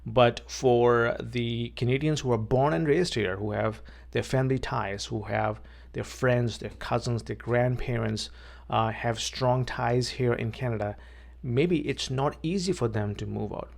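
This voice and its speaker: A man's voice: mid-range voice, unhurried, creaky. A man from Pakistan, a vlogger and realtor, talking plainly to camera outdoors, often repeating words and checking in with "okay". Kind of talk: casual talk to camera.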